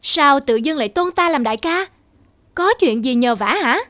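An actor voicing a big, proud male eagle in a cartoon, blunt, gruff and condescending. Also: high-pitched, expressive, normal speed, sharp tone, no room sound. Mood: curious.

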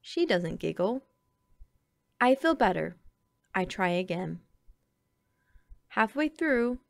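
A woman reading a children's picture book aloud with expressive, deliberate phrasing. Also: medium pitch, gravelly, dry recording. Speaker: storybook reader.

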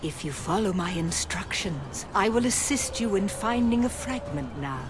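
An actress playing a grave, formal older psychic guide in a video game. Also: female voice, mid-range voice, animated, normal speed, noisy background, natural voice. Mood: instructive. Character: solemn older female psychic mystic guide. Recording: loud music behind the voice.

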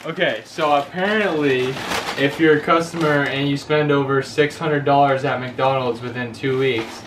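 A man's voice: medium pitch, fairly even intonation. Whiny young guy, faint noise behind. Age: young adult. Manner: whiny, indignant, quick to get worked up. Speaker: comedy channel co-host. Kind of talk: scripted comedy sketch, bickering banter. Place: in a car and a bedroom.

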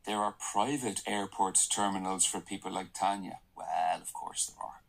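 A man's voice: sits low, steady tone, moderate pace, creaky. An actor in a radio drama playing a wry, idealistic male employee turned whistleblower, speaking in a sardonic, conversational way. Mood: wry narrating. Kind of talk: radio drama acting and first-person narration. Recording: faint noise, played back through a speaker.

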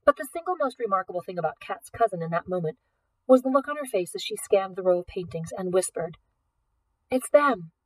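A woman's voice: mid-range voice, animated, quick pace. Woman reading a novel, slightly distant. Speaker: audiobook reader. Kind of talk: expressive scripted storybook narration.

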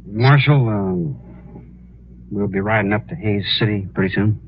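An actor playing an earnest, humble American prisoner in a 1950s radio western, speaking haltingly. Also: male voice, on the low side, highs cut off, close to an everyday voice. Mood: humble, hesitant. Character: earnest young condemned prisoner protesting his innocence. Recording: thin, noisy old radio recording.

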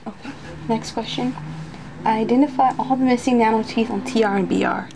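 Woman, slightly high pitch, ASMR roleplay, soft and whispery, hesitant self-muttering, close mic, noticeable noise. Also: fairly quick. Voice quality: soft, often breathy whispering. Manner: hesitant, muttering to herself as an unsure student. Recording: noisy close recording with clicks.

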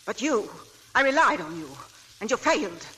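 A woman's voice: a little high, big pitch swings, normal speed, very noisy. An actress playing a stern, possessive older mother in a 1940s radio drama, speaking with intense, accusing force.

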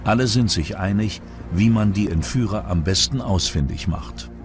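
Guy narrating a crime documentary, lowish voice, bit of music under it. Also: moderate pace. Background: music bed.

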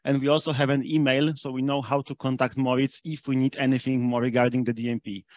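A man's voice: mid-range voice, dry recording. Man presenting, close dry sound. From Austria (based there). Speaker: conference presenter. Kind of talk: technical presentation with slides.

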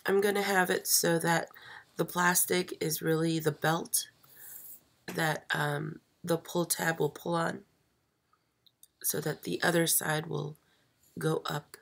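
This is a woman hosting a live craft stream while losing her voice. She speaks slowly and a bit low, with a gravelly fry. She hesitates often and fills the pauses with ums. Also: little pitch movement. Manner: slow, hesitant, many fillers, soft. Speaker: craft channel host. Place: craft table indoors. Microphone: overhead camera, hands only.

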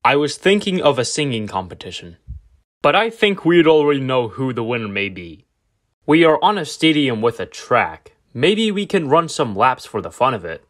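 A man's voice: mid-range voice, very animated, moderate pace, a bit bright. A man voicing an earnest toy race car talking with team-mates in an amateur fan dub. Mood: earnest, conversational.

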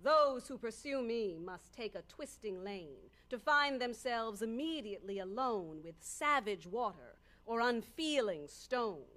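A middle-aged woman performing an ominous, majestic star spirit in a deliberate, incantatory verse reading. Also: on the high side, lively intonation, a bit bright. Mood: solemn warning. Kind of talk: formal dramatic verse reading. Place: concert stage in a radio performance hall. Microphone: close studio mic on boom.

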